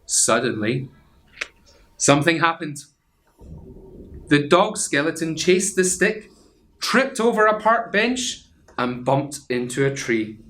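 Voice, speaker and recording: A man reading a children's picture book aloud as a teacher would, expressive and suspenseful, drawing out repeated phrases. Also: fairly high pitch. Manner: theatrical, suspenseful repetition with drawn-out phrases. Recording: clear background noise.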